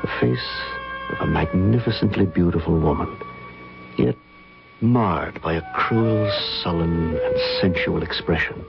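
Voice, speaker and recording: A man acting in an old-time radio drama as an earnest, courteous gentleman, narrating and speaking in a formal, theatrical manner. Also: low voice, measured pace, gravelly. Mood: solemn, confessional. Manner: formal, theatrical, solemn, hesitant. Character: earnest, courteous gentleman recounting a haunting memory. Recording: dull old radio broadcast, music behind.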